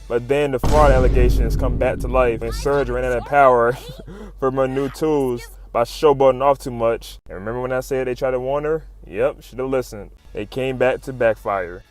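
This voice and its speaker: A man's voice: medium pitch, fairly even intonation, normal speed. Slangy man hyping a recap over music. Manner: slangy, sweary, fannish exclamations. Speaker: YouTube comic recap narrator. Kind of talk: casual hype commentary, retelling comic fights. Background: music under the voice-over.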